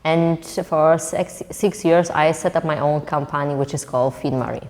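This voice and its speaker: Lower-voiced woman from Poland, reverberant. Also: flat delivery, moderate pace, a little mellow. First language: Polish. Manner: hesitant, repeating words, many fillers. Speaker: entrepreneur guest. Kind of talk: interview answers.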